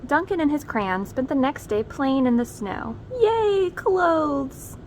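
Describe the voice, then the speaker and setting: A woman presenting a read-aloud, narrating a children's storybook expressively with measured emphasis on names and key phrases. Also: high-pitched, lively intonation, darkish tone, slight background noise.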